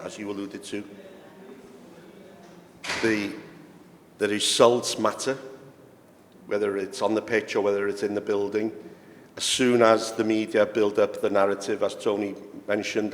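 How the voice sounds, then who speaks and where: Low flat-voiced man on a conference panel. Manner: measured, repeats words while building points. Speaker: sporting director panelist. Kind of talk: panel discussion. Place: conference hall. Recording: reverberant hall with faint noise.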